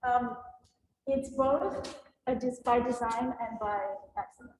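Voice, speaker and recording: A woman's voice: a little high, fairly even intonation, unhurried, a little mellow. Reflective woman artist in echoey gallery. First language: Russian. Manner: hesitant, reflective, laughs often. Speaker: exhibiting artist. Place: gallery exhibition space. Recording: echoey gallery with faint room noise.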